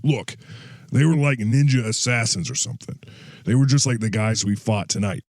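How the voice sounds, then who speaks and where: An actor playing a gruff, blunt older war veteran in an audio drama. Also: male voice, mid-range voice, normal speed, a little mellow, dry recording, studio quality, close to an everyday voice. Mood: grave, recounting. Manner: blunt, swearing, colloquial.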